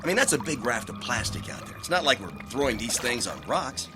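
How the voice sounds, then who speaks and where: An actor playing an anxious, excitable eco-saboteur at sea in a radio drama. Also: male voice, mid-range voice, brisk, over music, close to an everyday voice. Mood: anxious, doubtful. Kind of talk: modern radio drama acting.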